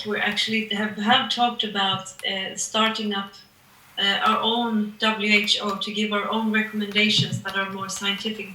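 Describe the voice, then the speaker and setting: A woman hosting an online meeting over a video call, talking in a halting way with many restarts. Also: medium pitch, pushed and bright, a little hiss or hum. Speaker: meeting host and coordinator. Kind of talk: online group meeting, casual. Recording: echoey distant video call audio.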